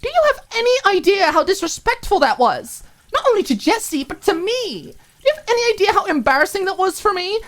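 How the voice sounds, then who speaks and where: A woman's voice: extremely high pitch, sing-song, a little fast, pitched above the performer's own voice. A woman voicing a furious, hurt young roommate in an audiobook, firing off sarcastic, emphatic rhetorical questions. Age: young adult. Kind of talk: audiobook character acting.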